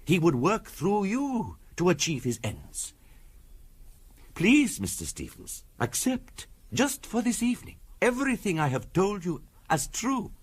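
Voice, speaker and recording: An actor playing an earnest, formal spiritualist medium in a 1970s radio drama, measured and insistent in delivery. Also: male voice, high voice, wildly swooping pitch, husky, heavily acted. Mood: pleading. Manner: measured, formal, insistent, sometimes hushed and hypnotic.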